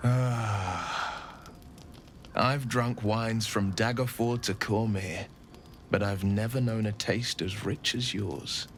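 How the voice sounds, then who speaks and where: An actor playing a courtly, romantic young adventurer in a fantasy video game, tender and bashful, with gallant, poetic phrasing. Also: male voice, on the low side, fairly even intonation, slow pace, a little hiss or hum. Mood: romantic, earnest.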